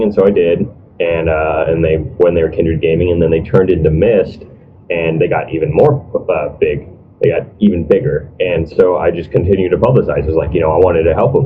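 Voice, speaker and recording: Deep-voiced young man on noisy call. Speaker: esports team manager. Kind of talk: casual storytelling chat. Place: online group call. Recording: dull, noisy low-quality voice call.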